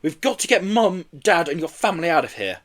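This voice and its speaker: Man playing an urgent, high-pitched, bossy young boy. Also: sing-song, a little fast, a bit bright. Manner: urgent, exclamatory.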